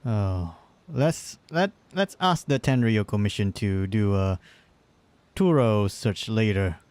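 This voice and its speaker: A man giving casual, halting gameplay commentary with wry asides, close to the mic. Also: fairly low pitch, animated, a little mellow, clear, resonant tone, dry recording. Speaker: game livestreamer.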